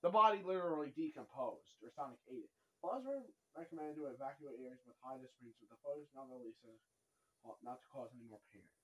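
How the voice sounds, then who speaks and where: Reaction host, excitable, bright forceful voice with wide swings in pitch, prone to exclaiming and repeating phrases. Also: fast, low-quality recording. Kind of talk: excited reaction commentary with on-screen text read aloud. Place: small dark room at home, indoors.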